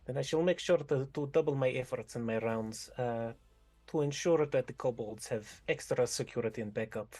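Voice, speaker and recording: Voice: mid-range voice, moderate pace, a little mellow, natural voice. A game master voicing an earnest woman with an accent who speaks formally without contractions. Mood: warm.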